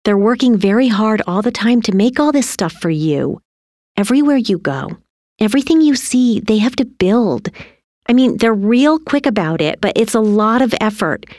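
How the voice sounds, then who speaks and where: A woman voicing a gentle, soothing mother who explains softly and hesitantly in an audiobook story. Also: fairly high pitch, animated, moderate pace, no room sound, studio quality, pitched above the performer's own voice, lightly acted. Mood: gentle, apologetic.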